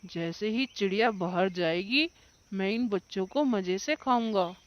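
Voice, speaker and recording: A woman's voice: mid-range voice, measured pace, highs cut off, a little hiss or hum, voice pushed down, natural voice. A woman voicing a cunning, greedy male kite, a scheming predator bird in a children's cartoon. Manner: scheming, exclamatory. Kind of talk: children's cartoon story acting.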